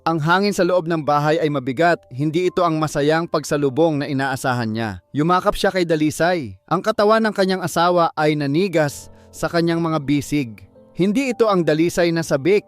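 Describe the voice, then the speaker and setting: Male audiobook narrator, slightly high pitch, dramatic scripted delivery, faint music underneath. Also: fairly quick, dry recording.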